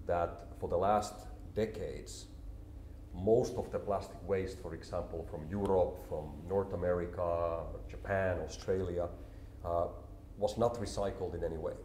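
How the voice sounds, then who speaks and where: Deep-voiced man in his forties. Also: slight background noise. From Finland. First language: Finnish. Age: 40s. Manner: calm, explanatory, measured. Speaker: company CEO, interview guest. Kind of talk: business interview. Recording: roomy echo, faint ambience.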